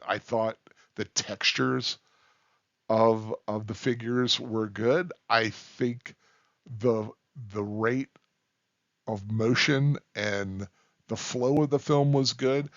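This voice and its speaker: A man giving an unscripted, rambling film review in a halting, deliberate way with emphatic stresses. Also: medium pitch, fairly bright tone, no echo, dull audio.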